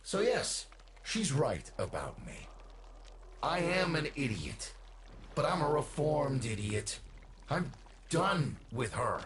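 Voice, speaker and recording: An actor playing a weary, self-mocking old war veteran in a video game, rambling with sardonic, folksy bitterness. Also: male voice, mid-range voice, a bit bright, a little hiss or hum, heavily acted. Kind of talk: video game character dialogue.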